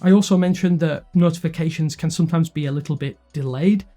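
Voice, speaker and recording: Mellow-voiced man narrating a tech review. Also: fairly high pitch, fast talker. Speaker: tech reviewer and video host. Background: background music.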